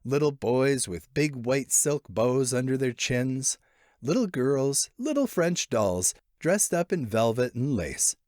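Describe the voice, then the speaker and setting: A man reads literary fiction as an audiobook narrator, his pitch moving in lively sweeps around a medium register. He shapes each phrase expressively and leaves lingering pauses. The sound is close and dry, with no room echo. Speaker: narrator, short story reader.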